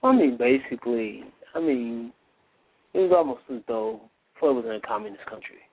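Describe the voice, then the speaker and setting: A man's voice: medium pitch, lively intonation, normal speed, a little mellow, dry recording, poor audio. A man talking casually as a boxing trainer in a radio interview, explaining his points with emphasis and repetition. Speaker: boxing trainer, guest analyst.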